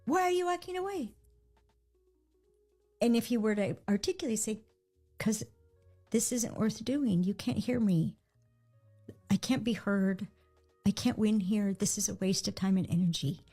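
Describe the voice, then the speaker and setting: A woman with a mellow, warm tone talks to an audience in a played clip. She acts out quick bits of dialogue and drops her voice low for asides, with faint music behind her. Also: breathy edge.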